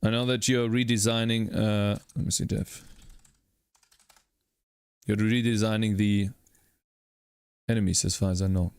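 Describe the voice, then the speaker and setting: A man's voice: on the low side, little pitch movement, unhurried, vocal fry, long pauses, a little mellow, clear, resonant tone, no echo, clean studio sound. A man streaming his coding work, talking through his steps and greeting chat viewers by name. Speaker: livestreaming game developer.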